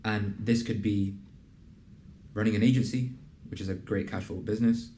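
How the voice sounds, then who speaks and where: A young man hosting a casual interview and pitching his program in an earnest, conversational way, with plenty of fillers. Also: fairly even intonation, normal speed, mellow, a little hiss or hum. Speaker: host and program founder. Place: in a building in a city business district.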